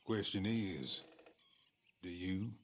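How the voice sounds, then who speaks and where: An actor playing a shady, folksy frontier fixer in a video game, with an American accent and a knowing drawl. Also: male voice, low-pitched, animated, takes it slowly. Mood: sly, ominous. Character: shady male frontier fixer, sly, folksy outlaw schemer. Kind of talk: video game cutscene acting.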